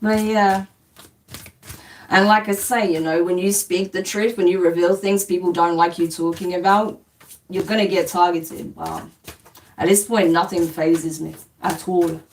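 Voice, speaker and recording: A woman's voice: fairly low pitch, steady tone, a little slow, distant mic, a little hiss or hum, low-quality recording. A woman from London with a slight New Zealand twang, giving a reassuring live spiritual reading to viewers, often checking in with "okay?" and "right?". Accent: London English with a slight New Zealand twang. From England. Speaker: spiritual reader. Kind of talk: live-stream collective tarot reading.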